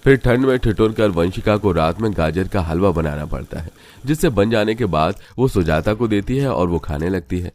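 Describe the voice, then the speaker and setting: A man's voice: low-pitched, lively intonation, normal speed, hardly any pauses, a little mellow. A man narrating a scripted cartoon story.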